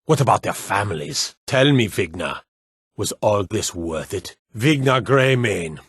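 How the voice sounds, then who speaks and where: An actor playing a proud, stern middle-aged warrior lord in a fantasy game, with a commanding, measured delivery. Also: male voice, on the low side, lively intonation, breathy edge, no room sound, clean studio sound, exaggerated voice. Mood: bitter, grave. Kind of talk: scripted fantasy game dialogue.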